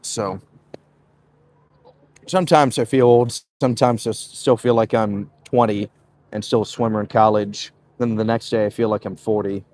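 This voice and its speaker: A man's voice: fairly low pitch, normal speed, slight background noise. A man in his twenties hosting a casual livestream, chatting loosely while he walks and reads out viewer comments. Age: 20s. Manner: relaxed, loose, frequent "uh" and "man". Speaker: livestream host.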